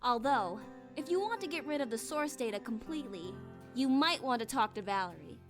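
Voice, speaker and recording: Reserved, rational young student, female, high voice, earnest and hesitant, visual novel game, faint music behind. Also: lively intonation, fairly quick. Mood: earnest, supportive.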